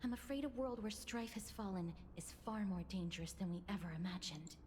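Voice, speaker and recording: A woman voicing a calm, grave young woman in a video game, courteous and formal, with soft, measured, breathy delivery. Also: mid-range voice, a little hiss or hum, close to an everyday voice. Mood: solemn. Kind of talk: anime-style game voice acting.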